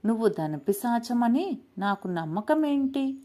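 A woman's voice: a little high, very animated, measured pace, dark tone. A woman playing a frightened but clever village man who bargains politely in a storybook tale. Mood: wary, bold.

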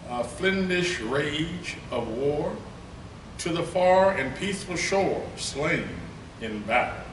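An older man reading poetry at a podium, first chatting haltingly with many fillers, then reciting slowly and gravely. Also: on the high side. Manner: slow, declamatory recitation. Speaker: poetry reader. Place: conference room with lectern. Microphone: podium mic, from a far camera. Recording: roomy, distant, noisy room recording.

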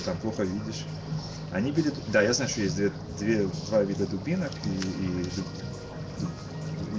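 A tired man in his thirties from Kyiv giving a casual, halting eyewitness account as an interviewee, repeating and restarting his words. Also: lowish voice. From Kyiv, Ukraine. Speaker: interviewee, musician. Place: cafe-like booth indoors. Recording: muffled lo-fi webcast, loud background noise.

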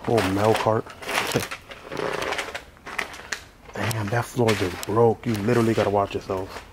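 A man's voice: on the low side, little pitch movement, slow pace. A man vlogging while exploring an abandoned old factory at night, talking casually in slang, swearing and easily spooked. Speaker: vlogger exploring a haunted building. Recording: noisy handheld camera audio.

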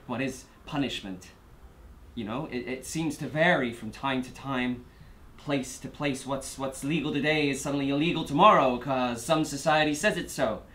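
A man's voice: mid-range voice, a little hiss or hum, lightly acted. A man playing a bitter, defiant prisoner who addresses the court in a dramatic film monologue, often repeating his words. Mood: subdued, halting. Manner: halting, repeats words, building from subdued to shouting. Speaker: actor performing a film monologue.

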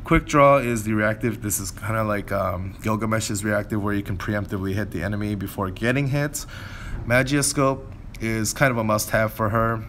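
A man's voice: lowish voice, a bit bright, a little hiss or hum. A man giving casual, unscripted game walkthrough commentary in a conversational way, often hedging and correcting himself. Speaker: game video creator.

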